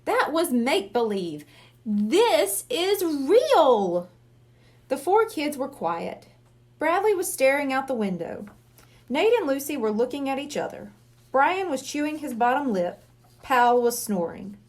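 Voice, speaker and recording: A woman reading a children's book aloud in an expressive narrating style. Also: high-pitched, normal speed. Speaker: storybook reader.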